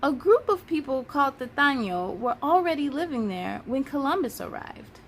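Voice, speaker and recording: An upbeat, patient schoolteacher from a children's storybook, acted by a woman, with a high voice that swings widely. She instructs with encouraging emphasis, over some background noise. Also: very animated, normal speed. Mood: explaining. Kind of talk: children's storybook read-aloud.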